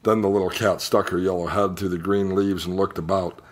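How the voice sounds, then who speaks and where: A man reading a children's picture book aloud in an expressive storytelling style. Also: low-pitched, fairly quick. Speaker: storybook reader.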